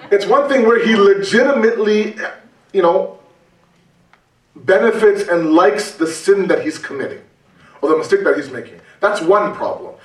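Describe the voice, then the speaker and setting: A man giving a conversational religious talk, animated and full of rhetorical questions and repetition. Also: high-pitched, a little slow, pauses often. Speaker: preacher. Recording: roomy, distant mic.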